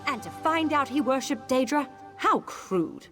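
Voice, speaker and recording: A woman's voice: high voice, animated, measured pace, a bit bright, soft background music. An actress playing a proud, haughty noblewoman who speaks with scorn, in a video game. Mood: bitter.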